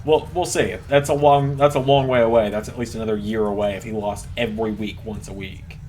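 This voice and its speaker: A man commentating play-by-play on a wrestling video game as channel host, with announcer-like, drawn-out emphasis. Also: lowish voice, steady tone, a little mellow, soft background music. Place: voice-over recorded at a computer over gameplay.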